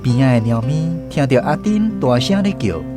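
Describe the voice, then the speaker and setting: Male radio drama narrator, Taiwanese accent, lively and varied intonation, storybook delivery over soft music. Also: mid-range voice, moderate pace.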